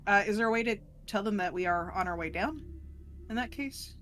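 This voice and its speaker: A woman chats casually as a player at a tabletop game. Her pitch sits in the middle, and there is faint noise behind her. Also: animated, a little fast, fairly bright tone.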